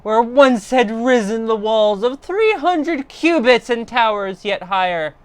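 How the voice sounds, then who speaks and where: A woman narrating a horror story in a theatrical, deliberate way, swelling in intensity as a livestream storyteller. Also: fairly high pitch, expressive, clean tone, dry recording. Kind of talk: dramatic horror story reading.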